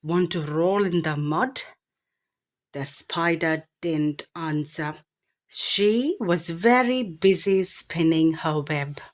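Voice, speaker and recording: A woman reading a children's picture book aloud in an expressive, deliberate bedtime storytelling manner. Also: deep voice. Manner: expressive, deliberate phrasing with animal sound imitations.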